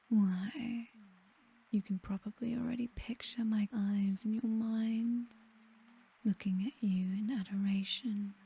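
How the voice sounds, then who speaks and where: Dark, whispering British vampire mistress, acted by a woman. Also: mid-range voice, exaggerated voice. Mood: seductive, hypnotic. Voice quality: soft, breathy whisper. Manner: slow, coaxing, hypnotic, teasingly commanding. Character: seductive, possessive vampire mistress, dominant yet tender. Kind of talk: whispered ASMR roleplay.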